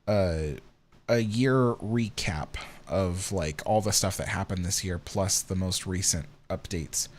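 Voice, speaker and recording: A man in his thirties with a somewhat low voice talks casually on a livestream. He rambles, restarts his sentences and fills the gaps with ums. He sounds tired and yawns now and then. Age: 30s. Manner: rambling, reads viewer comments aloud, many ums. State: tired, yawning. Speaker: livestream host.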